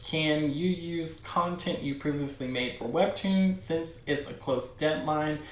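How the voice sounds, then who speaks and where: A man's voice: fairly high pitch, a little slow. A man hosting a livestream, chatting casually to viewers in an informal, encouraging way. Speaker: livestream host. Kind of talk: casual chat to a livestream audience. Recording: very echoey room, distant mic.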